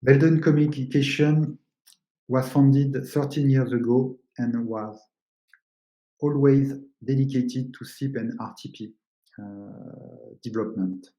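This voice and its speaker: Male webinar presenter, mellow tone, halting explanatory delivery, roomy echoing sound. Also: mid-range voice, fairly even intonation. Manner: explanatory, halting non-native English phrasing. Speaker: webinar presenter, company co-founder.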